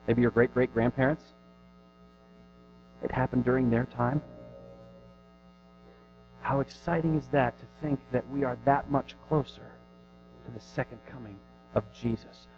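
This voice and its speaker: A man in his thirties preaching a sermon in an earnest, conversational way, pushing hard on some phrases and dropping to a hush on others. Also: mid-range voice, a little slow, pauses often. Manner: earnest, conversational preaching with rising and falling emphasis. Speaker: pastor. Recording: dull lo-fi recording with faint music.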